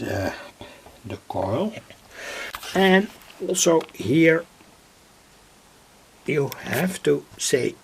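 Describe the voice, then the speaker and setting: Slow gravelly man at his workbench. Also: fairly high pitch, a little hiss or hum. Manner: rambling, self-correcting, thinking aloud. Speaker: radio hobbyist demonstrating equipment. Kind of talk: casual workbench demonstration.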